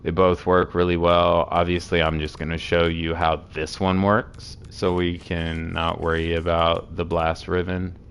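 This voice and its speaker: A hoarse man with a sore throat casually walking through game builds, rambling with many fillers. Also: deep voice, completely flat delivery, measured pace, few pauses, fairly bright tone, slight background noise. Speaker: game streamer explaining builds.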